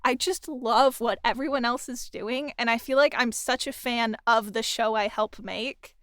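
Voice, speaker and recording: A woman with a smooth, clear, fairly high voice asks questions of a colleague. The sound is dry and close, and she comes across as excited and admiring. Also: moderate pace, no room sound. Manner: warmly excited, admiring, laughs easily. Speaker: sound designer and interviewer. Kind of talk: podcast interview.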